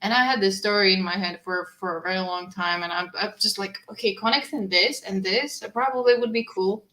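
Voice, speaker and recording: A woman's voice: mid-range voice, a little fast, bright, forceful tone. A woman whose first language is not English, a comic creator chatting as an interview guest, with many restarts and fillers. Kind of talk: casual interview chat. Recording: roomy echo.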